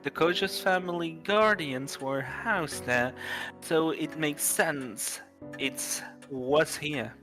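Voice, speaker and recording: Slow-reading man with a higher-pitched voice. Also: over music. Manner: halting, stumbles over words and rereads them. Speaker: livestreamer reading a visual novel aloud. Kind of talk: reading game dialogue and narration aloud.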